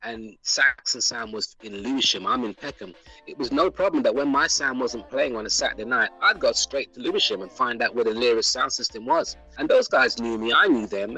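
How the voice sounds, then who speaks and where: Bright-voiced South London man reminiscing. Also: medium pitch, rough recording. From England. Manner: reflective, unhurried storytelling with repeated phrases. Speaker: guest singer. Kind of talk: relaxed interview talk.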